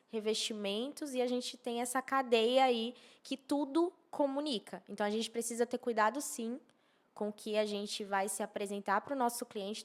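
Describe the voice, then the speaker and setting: A woman's voice: on the high side, a bit bright. A woman explaining things conversationally as a podcast guest, emphatically and repeating key phrases. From Maceió, northeastern Brazil. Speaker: podcast guest, architecture and social media professional.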